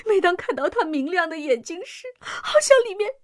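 A woman's voice: extremely high pitch, lively intonation, unhurried. An actress playing a devoted, anxious working-class mother in a science-fiction radio drama, pleading and sighing emotionally. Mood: tearful, loving.

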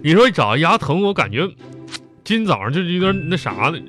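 A man's voice: a little high, very animated, moderate pace, a bit bright, soft background music. A man playing a long-suffering, whiny middle-aged husband in a radio comedy, groaning and pleading with a Northeastern Chinese accent. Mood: pained, whiny. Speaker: radio comedy host and performer. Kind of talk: live comedy radio drama dialogue.

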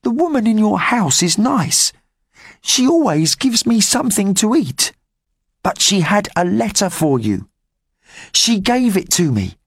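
A man's voice: high-pitched, sing-song, creaky, husky, dry recording, clean studio sound, pitched above the performer's own voice, heavily acted. A man voicing a cheeky street boy. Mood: eager. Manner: eager, quick, loud whispers.

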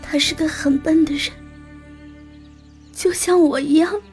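A young elf maiden mourns in a high, delicate voice. She is heartbroken and lovelorn, speaking in fantasy audio drama style over faint music. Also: fairly even intonation, unhurried. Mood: tearful, realizing. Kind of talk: Chinese audio drama acting.

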